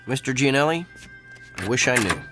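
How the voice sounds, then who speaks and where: A man's voice: mid-range voice, lively intonation, normal speed, music in the background, natural voice. An actor in a radio drama playing an earnest male doctor with an uncanny gift for diagnosis. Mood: convinced, puzzled.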